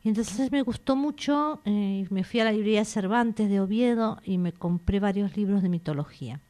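A woman born in Argentina talks over her writing in an easygoing interview. She wanders from thought to thought and stops to search for words, at an ordinary pitch for a woman. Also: moderate pace, mellow. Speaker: author. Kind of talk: relaxed interview conversation.

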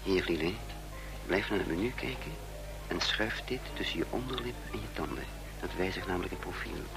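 Low-voiced, composed male spy. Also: animated, a little fast, vocal fry, music in the background, rough recording. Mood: hushed, instructing. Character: cool, wry male spy narrating his own adventure. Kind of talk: radio play narration and dialogue.

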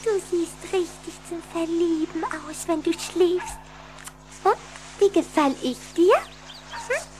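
A plucky high-voiced vampire girl. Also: slow, noisy background. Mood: coquettish, surprised. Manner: pert, coquettish, defiant. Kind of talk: German radio play acting.

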